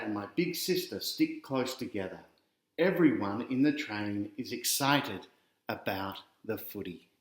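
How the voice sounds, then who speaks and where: Echoey Australian man reading to children. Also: mid-range voice. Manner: chatty, directly addressing young listeners. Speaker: author reading his picture book aloud. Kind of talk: children's storybook reading with casual asides.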